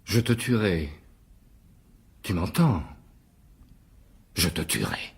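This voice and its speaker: An actor playing an intense, troubled stage actor in a French radio drama, with a husky voice. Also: male voice, deep voice, big pitch swings, takes it slowly, full character voice. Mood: menacing.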